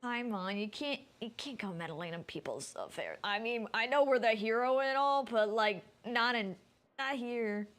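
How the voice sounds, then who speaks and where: A woman's voice: on the high side, animated, normal speed, fairly bright tone, a little hiss or hum. A woman game streamer giving casual, chatty commentary and thinking aloud as she plays.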